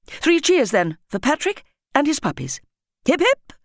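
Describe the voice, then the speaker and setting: A woman voicing a warm, indignant school headmistress addressing children emphatically in an audiobook story. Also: high voice, very animated, measured pace, no room sound, clean studio sound, pitched above the performer's own voice, heavily acted. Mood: brisk, rallying. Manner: emphatic, exclaiming, addressing a crowd.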